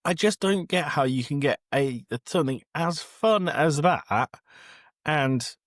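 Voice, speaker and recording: A man's voice: on the high side, animated, measured pace, no echo, clean studio sound. A man co-hosting a casual film review chat, exasperated, blunt and sweary. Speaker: podcast co-host.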